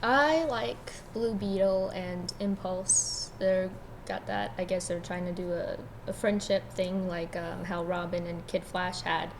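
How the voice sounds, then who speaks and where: A woman's voice: on the low side, steady tone, a little slow, a little hiss or hum. A woman chatting casually as a co-host on an amateur fan podcast. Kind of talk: casual chat.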